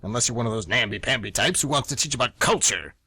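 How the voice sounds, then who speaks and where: A man's voice: mid-range voice, lively intonation, fast, sharp tone, slightly rough, dry recording, voice pushed down, heavily acted. Man playing a paranoid, militaristic history teacher. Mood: paranoid, urgent. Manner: clipped, militaristic, condescending. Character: frantic paranoid male history teacher, drilling like soldier. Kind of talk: amateur radio comedy acting.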